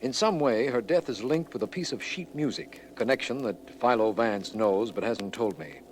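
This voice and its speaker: A man's voice: mid-range voice, animated, moderate pace, close to an everyday voice. A man playing a formal, serious district attorney who narrates an old radio mystery. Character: sober, official male district attorney narrating the case. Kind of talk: mid-century radio drama narration. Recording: old radio recording, faint noise.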